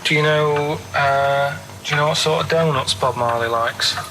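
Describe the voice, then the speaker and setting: A man's voice: on the high side, moderate pace, very noisy. A man chatting casually as a radio co-host, downbeat, grumpy and matter-of-fact.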